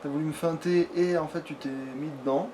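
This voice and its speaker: A man plays and comments at a quick clip. His talk is slangy, crude and casual. There is some background noise on the recording. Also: on the high side, steady tone. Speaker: let's player at the controls. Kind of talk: casual gaming chat while playing.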